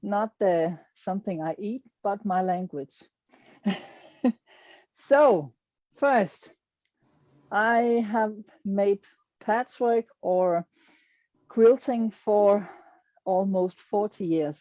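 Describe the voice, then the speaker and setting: A woman's voice: mid-range voice, drawn-out words, long pauses, no room sound, highs cut off. A middle-aged Danish woman with a Danish accent, showing her quilts to an audience in hesitant English, often searching for words. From Denmark. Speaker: quilter presenting her work. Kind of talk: presentation to an online audience.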